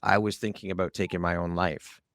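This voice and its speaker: A man's voice: deep voice, level tone, fairly quick, a bit bright, no room sound, clean studio sound. A man hosting a conversational interview podcast, asking questions and sharing his own views. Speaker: podcast host.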